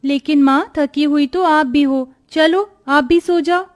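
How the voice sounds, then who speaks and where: A woman's voice: high-pitched, a little slow. A woman voicing a caring, dutiful young village daughter in a cartoon story, with an earnest, solicitous manner. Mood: concerned.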